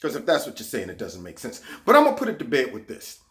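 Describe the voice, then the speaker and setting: A man's voice: medium pitch, huge pitch swings, fast, fairly bright tone. Sarcastic man hosting a political vlog. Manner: sarcastic, repeats words when heated. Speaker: vlog host.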